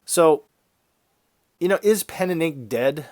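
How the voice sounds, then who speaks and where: A man hosting an art vlog in a casual, conversational and explanatory way, his voice congested and a little raspy from a head cold. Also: a little high, animated, slow, a little mellow, no room sound, studio quality. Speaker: YouTube art channel host.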